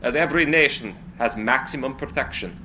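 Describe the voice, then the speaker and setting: Man acting a bright-voiced, grandiose inventor. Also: medium pitch, a little fast, slight background noise. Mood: grand, solemn. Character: eccentric, grandiose, idealistic male inventor. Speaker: actor. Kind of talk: live screenplay reading, dramatic.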